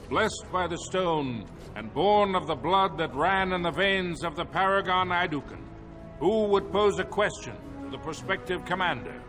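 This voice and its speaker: An actor playing a proud, aging dwarf king in a fantasy game, with a formal, commanding way of speaking. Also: high voice. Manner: formal, commanding, grand oratory. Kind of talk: fantasy game cutscene acting. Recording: loud background music.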